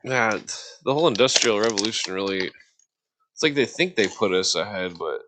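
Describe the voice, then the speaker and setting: An American man in a casual podcast chat, rambling and halting, often trailing off into digressions. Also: on the low side, animated, background noise.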